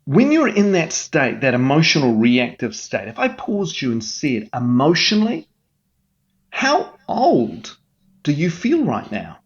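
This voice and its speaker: A man's voice: mid-range voice, wildly swooping pitch, a little slow, highs cut off. A man speaking as a mental health guest in an interview, explaining with an earnest coaching manner and frequent restarts.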